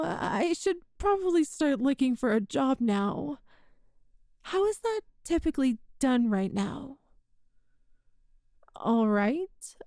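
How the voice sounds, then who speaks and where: A woman's voice: high voice, dark tone, no room sound, studio quality, full character voice. A woman playing a flustered, apologetic, grateful time traveler from the future in a one-sided ASMR roleplay, hesitant and earnest as she talks to the listener. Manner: hesitant, earnest, self-deprecating.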